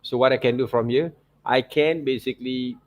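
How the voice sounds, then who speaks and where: A man teaching digital illustration in an informal software demonstration, thinking aloud and often checking in with okay and right. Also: medium pitch, steady tone, moderate pace.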